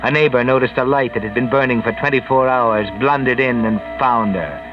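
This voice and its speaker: A man's voice: mid-range voice, fairly quick, lightly acted. A man playing a sinister, all-knowing mystery narrator in 1940s radio style, ominous, theatrical and mocking. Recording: dull vintage radio recording with music.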